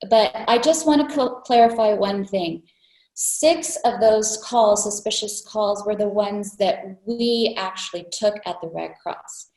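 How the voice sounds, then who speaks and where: A woman's voice: mid-range voice, measured pace, darkish tone. Woman explaining firmly in an echoey room. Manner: clear, firm, clarifying. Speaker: Red Cross spokesperson. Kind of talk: explanatory presentation.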